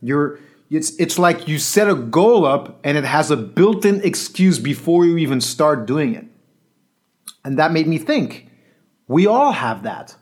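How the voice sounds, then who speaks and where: A man who works as a coach, telling a personal story in an earnest, reflective monologue that sometimes drops quiet. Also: a little high, animated, a little slow. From Belgium.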